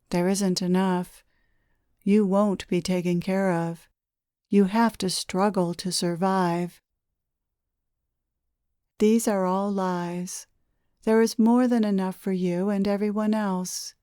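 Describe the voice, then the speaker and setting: Slow, mellow woman guiding a meditation. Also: medium pitch, clear, resonant tone, dry recording, clean studio sound. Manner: slow, gentle, soothing instruction with deliberate pauses. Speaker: guided meditation leader, channeler. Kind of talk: guided meditation narration.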